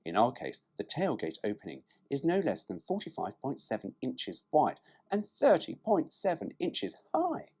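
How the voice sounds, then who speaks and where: Man with wildly swooping, slightly husky delivery. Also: mid-range voice, wildly swooping pitch, moderate pace, hardly any pauses, a little mellow. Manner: cheeky, irreverent, sweary. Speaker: presenter. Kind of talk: reading a car brochure aloud with joking asides.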